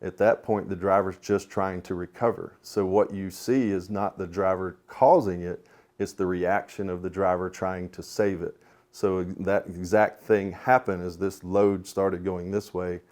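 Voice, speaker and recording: A man with a low voice speaks as an instructor in a training talk. He is plain-spoken and methodical, laying out his explanation step by step. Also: flat delivery, moderate pace, darkish tone. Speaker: police sergeant and crash investigator, instructor.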